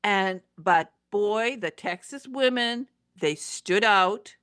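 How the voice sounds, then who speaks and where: A woman interviewing conversationally, with halting phrases and emphatic stress on key words. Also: medium pitch, fairly bright tone, smooth, no room sound, clean studio sound.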